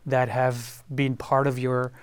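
A man from France with a French accent, a guest on a panel discussion who talks haltingly, with many fillers and repeated words. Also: mid-range voice, flat delivery, clear, resonant tone, no room sound.

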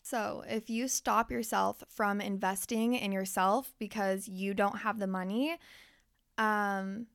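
A woman's voice: mid-range voice, fairly even intonation, takes it slowly, vocal fry, smooth, no room sound, studio quality. A young woman podcast host and life coach who is losing her voice. She talks candidly and swears freely as she speaks straight to her listeners. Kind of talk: casual motivational podcast monologue.

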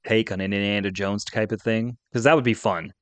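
A man's voice: fairly low pitch, fairly even intonation, a little fast, no room sound. A man, an informal and opinionated podcast co-host in casual chat. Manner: informal, opinionated fan talk. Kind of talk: casual geek-culture chat.

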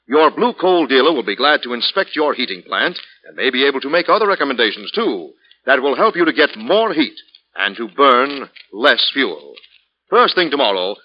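A man announcing and narrating in a formal, emphatic, dramatic 1940s radio style. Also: mid-range voice. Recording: dull old radio recording.

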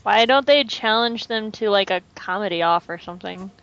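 A woman's voice: mid-range voice, normal speed, fairly bright tone. A woman chatting casually as a podcast panelist, recorded in dull, lo-fi sound. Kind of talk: casual chat.

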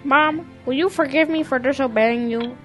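A woman's voice: sits high, moderate pace, faint music behind, natural voice. A woman playing a stubborn, childlike young calf who insists on being a duck, in a children's audio drama. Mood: sorry.

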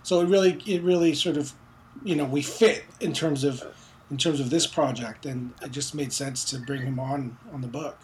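A man raised in Montreal talks casually as a guest, rambling with many fillers. His telling stays loose and unhurried. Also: mid-range voice, noisy background. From Philippines, raised in Montreal. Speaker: comic book writer. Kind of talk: casual interview.

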